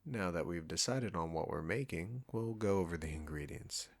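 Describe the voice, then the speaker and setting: A man acts out a condescending male chef with a low voice. He is cocky and teasing, trading barbs with an old rival. The delivery is intimate audio roleplay, aimed straight at the listener. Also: lively intonation, normal speed. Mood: brisk, businesslike. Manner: smug, bantering, teasing.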